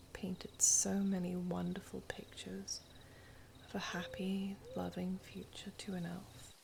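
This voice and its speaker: An immortal elf princess, acted by a woman whispers slowly and tenderly in an ASMR roleplay, lovelorn and reluctant. Her soft, halting delivery carries a gravelly creak, over clearly audible background noise. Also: medium pitch. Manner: soft, breathy, halting whisper, slow and pleading.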